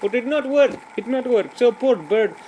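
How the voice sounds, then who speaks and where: A cheerful, rambling joke-teller played by a man, stumbling through fillers and restarts in broken grammar. The voice sits high. Loud traffic noise runs behind the recording. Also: lively intonation, normal speed, muffled recording. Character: rambling, cheerful adult man telling pointless jokes. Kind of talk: comic character monologue.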